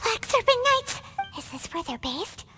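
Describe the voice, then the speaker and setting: A woman's voice: extremely high pitch, unhurried, full character voice. A woman voicing a tiny, chirpy, nosy fairy-like female companion in a video game, who refers to herself in the third person. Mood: curious. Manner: excitable, curious, speaks of herself in third person. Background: game music.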